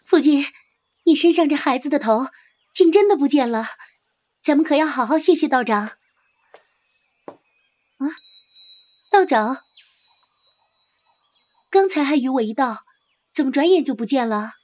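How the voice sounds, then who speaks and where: A woman's voice: high-pitched, lively intonation, measured pace, long pauses, a little mellow. A woman playing an anxious, devoted young wife of an ancient Chinese official in a period audio drama, speaking formally and emotively. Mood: relieved concern. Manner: formal, archaic, emotive speech.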